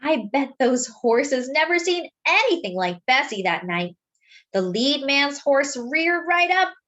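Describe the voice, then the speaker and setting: A woman reading a children's story aloud in an expressive, dramatic, deliberate way, as a storyteller speaking to young listeners. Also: high-pitched.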